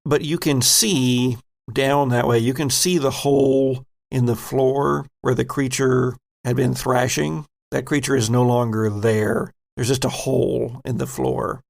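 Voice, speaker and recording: A man narrating a tabletop game as game master, in an unhurried, descriptive way. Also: medium pitch, steady tone, gravelly.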